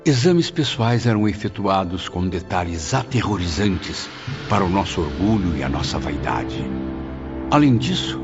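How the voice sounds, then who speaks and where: A man's voice: sits low, very animated, unhurried. A man narrating an audiobook in the first person, with solemn, emotive, literary delivery. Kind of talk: dramatic scripted narration. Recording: dull lo-fi with music bed. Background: clear background music.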